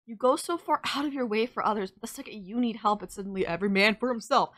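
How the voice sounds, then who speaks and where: A woman's voice: a little high, fast talker, fairly bright tone, close to an everyday voice. Woman acting as a bossy, caring villainess. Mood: scolding care. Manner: teasing, exasperated, scolding. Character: female villain nemesis, bossy but caring, sardonic. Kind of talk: audio roleplay acting, addressed to the listener.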